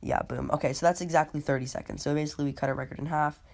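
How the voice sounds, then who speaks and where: Low-voiced sick woman hosting a podcast. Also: steady tone, very fast, gravelly, a little mellow. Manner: laid-back, many ums and uhs, talks through live play. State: sick, voice a bit off. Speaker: podcast host. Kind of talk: casual gaming podcast commentary.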